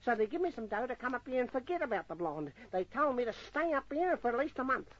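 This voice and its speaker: An actor playing a cowardly, chatty small-time Australian sidekick in an old radio crime drama, with rough working-class speech and stammering excuses. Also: male voice, very high-pitched, very animated, quick pace, a bit bright, full character voice. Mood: nervous bluster. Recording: muffled old radio recording.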